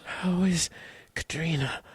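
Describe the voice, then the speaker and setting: Husky, halting freezing man. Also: on the high side, full character voice. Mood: weakly anxious. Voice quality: husky, gravelly, breathy. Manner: halting, stammering, dramatic. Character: desperate freezing man, anxious and pleading. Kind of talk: horror audio drama acting.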